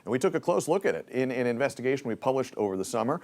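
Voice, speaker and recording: Man, mid-pitched voice, TV news reporter, live broadcast delivery, newsroom setting. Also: very animated, very fast, a little mellow.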